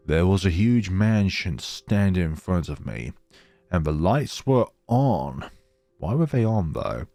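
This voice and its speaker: A man's voice: lowish voice, mellow, no echo. A man hosting a gaming let's play, reading story text aloud dramatically and dropping in casual asides. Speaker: gaming channel host.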